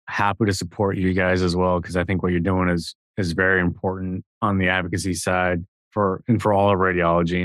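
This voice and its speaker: A man's voice: sits low, no room sound. A man in his thirties, a guest radiologist assistant, making long earnest points in a podcast conversation. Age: 30s.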